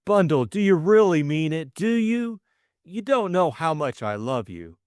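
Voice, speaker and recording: A man's voice: fairly high pitch, big pitch swings, a little slow, darkish tone, clear, resonant tone, dry recording, clean studio sound, voice pushed up. A man voicing a flustered, lovestruck young Englishman, stammering and eager. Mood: overwhelmed.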